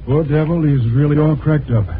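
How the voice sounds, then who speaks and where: An actor playing a matter-of-fact, grimly pitying hospital doctor in 1950s radio drama, clipped and clinical. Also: male voice, fairly high pitch, moderate pace, faint music behind, lightly acted. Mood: grave, clinical. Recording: muffled, hissy 1950s radio recording.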